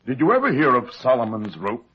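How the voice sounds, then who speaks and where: An actor playing an older, businesslike insurance company president in a 1950s radio drama, emphatic and gruff. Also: male voice, mid-range voice, wildly swooping pitch, fairly quick. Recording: dull vintage 1950s radio recording.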